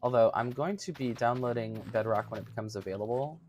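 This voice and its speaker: A man in his thirties with a somewhat high-pitched voice, chatting casually with livestream viewers in a giggly, chatty, self-mocking way. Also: brisk, a little mellow. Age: 30s. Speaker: streamer.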